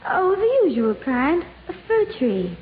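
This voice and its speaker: High-pitched woman in old radio banter. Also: sing-song, unhurried. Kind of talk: scripted 1950s radio comedy banter. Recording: tinny, noisy radio broadcast, audience.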